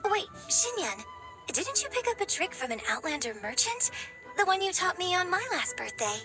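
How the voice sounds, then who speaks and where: A poised, playful young lady in a video game. The high voice is lively and melodic in range, articulate and sweetly teasing. Music is clearly heard behind it. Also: normal speed. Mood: curious. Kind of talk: animated video game voice acting.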